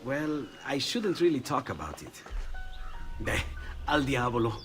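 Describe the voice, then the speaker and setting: An actor playing an excitable, bashful young inventor and painter with an Italian accent in a game cutscene. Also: male voice, mid-range voice, measured pace. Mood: confiding. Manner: animated, hesitant, confiding. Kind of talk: video game cutscene acting. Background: music behind the voice.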